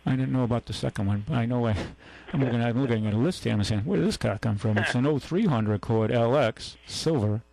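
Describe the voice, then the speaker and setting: A man's voice: medium pitch, fast talker, vocal fry, hardly any pauses, a little mellow, a little hiss or hum, rough recording. A man with a New England accent hosting a radio car show, talking in a rambling, folksy way full of fillers and restarts. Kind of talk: casual radio chat.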